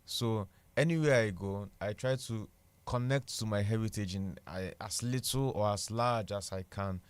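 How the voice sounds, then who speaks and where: Igbo Nigerian man, low dry voice. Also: normal speed, smooth, no echo. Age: young adult. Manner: thoughtful, repeats words while searching. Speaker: guest, international student. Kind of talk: casual radio interview. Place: radio studio.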